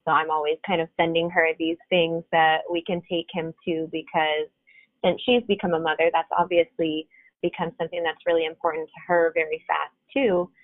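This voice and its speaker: A woman with a fairly low voice, heard over a tinny, dry phone-like line. She chats casually as a guest, rambling from one thought to the next. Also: level tone, moderate pace, no room sound. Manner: chatty, rambling. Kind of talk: casual conversation.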